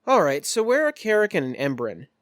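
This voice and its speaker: A man's voice: fairly high pitch, huge pitch swings, normal speed, fairly bright tone. A man casually narrating a tabletop role-playing game as game master.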